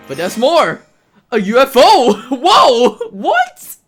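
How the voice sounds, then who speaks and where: A man giving casual live commentary on a video game he is playing, breaking into excitable exclamations. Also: extremely high pitch, takes it slowly. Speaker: speedrunner commentating on own game. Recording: game music behind the voice. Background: video game music.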